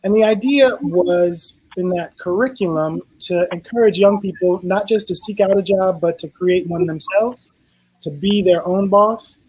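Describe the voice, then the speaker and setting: A man moderating a dance panel over a video call, speaking in a measured way with small restarts. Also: high-pitched, a little mellow, husky, rough recording. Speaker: panel host.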